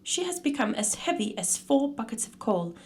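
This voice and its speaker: A woman's voice: a little high, very animated, moderate pace, background noise. A woman narrating a scripted radio drama in storytelling style.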